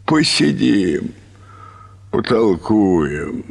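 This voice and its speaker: A man's voice: lowish voice, big pitch swings, drawn-out words, gravelly, breathy edge, heavily acted. An actor playing a gloomy, tipsy provincial man who lays down his views in a ponderous drawl, in a radio play. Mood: tipsy, coaxing. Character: drunken gloomy provincial man preaching that everything is superfluous. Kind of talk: Russian radio play acting.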